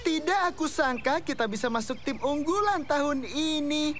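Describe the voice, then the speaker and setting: An actor voicing an excitable, cheerful child sidekick in an animated comedy, enthusiastic and full of exclamation. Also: high-pitched, normal speed. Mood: excited. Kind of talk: animated comedy cartoon dubbing. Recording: loud music behind the voice.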